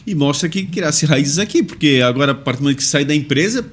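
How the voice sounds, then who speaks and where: A male podcast host from puts casual questions to his guest. His voice sits slightly high and moves in animated swings, at a brisk pace. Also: breathy edge. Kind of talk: casual interviewing.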